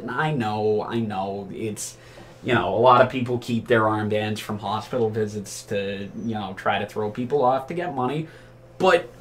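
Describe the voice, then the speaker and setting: A man's voice: mid-range voice, a bit bright. A man telling casual anecdotes among friends in a rambling, profane, incredulous way. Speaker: storyteller.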